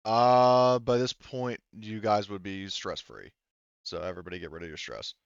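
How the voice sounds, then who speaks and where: A man with a smooth, clear voice on the low side runs a tabletop game as game master. He talks casually and haltingly, feeling his way through rulings. The sound is close and dry, a little dull. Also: no echo.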